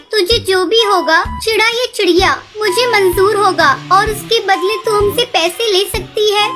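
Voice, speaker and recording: Woman as a cartoon mother bird, very high childlike pitch, earnest, emotional, pleading, music behind. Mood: grateful, warm. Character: gentle, emotional female bird, wife and doting mother. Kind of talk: Hindi children's cartoon story acting.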